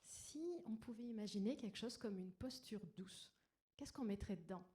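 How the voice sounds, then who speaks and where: A woman with a soft, gentle voice, speaking to an audience in a personal, lightly self-deprecating conference talk. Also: medium pitch, moderate pace. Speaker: conference speaker, agile coach. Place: indoor conference room. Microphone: stage microphone. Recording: noisy live microphone in a talk room.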